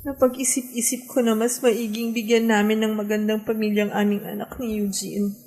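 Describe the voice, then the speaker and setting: A woman's voice: mid-range voice, level tone, normal speed, a little mellow, lightly acted. An actress playing a tender, sentimental young mother and sweetheart in a radio drama, with an emotive delivery. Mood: regretful. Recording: music bed under the voice. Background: background music.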